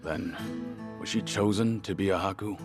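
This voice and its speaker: Low-voiced stoic tough guy played by a man. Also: normal speed, music in the background, lightly acted. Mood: guarded, gruff. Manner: blunt, guarded, restrained. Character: stoic, terse middle-aged male tough guy. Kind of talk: dramatic game cutscene acting.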